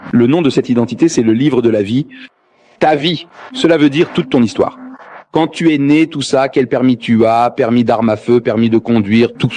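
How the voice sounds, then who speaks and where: A man's voice: medium pitch, darkish tone. Man lecturing over a noisy recording. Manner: rhetorical, questions the audience, jokes. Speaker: religious debate lecturer. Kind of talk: dubbed public lecture.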